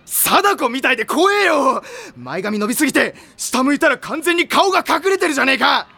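A man playing a loud, hot-blooded, easily spooked high school volleyball boy in an anime audio drama, with blustering, rough slang. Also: sing-song, brisk, full character voice. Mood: exasperated shouting.

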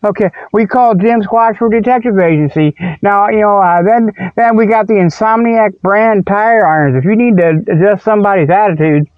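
High-pitched folksy man, dull lo-fi sound. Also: normal speed, hardly any pauses, clean tone, dry recording. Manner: folksy, rambling, jokey. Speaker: comedy radio show host. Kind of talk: ad-libbed spoof announcements and mock ads. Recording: dull, dry lo-fi audio.

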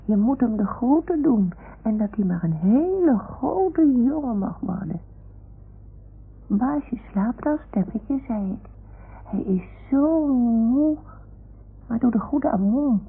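A woman playing a small, timid toy dog who tells stories in a childlike, hushed way in a radio play. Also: on the high side, expressive, takes it slowly, exaggerated voice. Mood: childlike, chatty. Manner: childlike, breathy hushed storytelling. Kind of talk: 1960s-70s radio play acting. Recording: muffled, hissy old radio recording.

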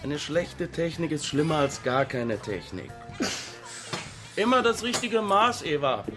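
An actor playing a stern, aloof fight trainer in a radio drama, speaking in a curt, instructive way. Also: male voice, on the high side, big pitch swings, a little slow. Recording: music behind the voice. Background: music.

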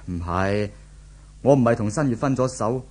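A male actor plays an anguished young man in an old Hong Kong radio serial. His voice is husky and medium in pitch, with a Hong Kong Cantonese accent. He speaks with the brooding, theatrical weight of a lovesick boyfriend. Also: moderate pace, darkish tone, lightly acted. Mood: despondent. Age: young adult. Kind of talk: 1970s radio drama acting.

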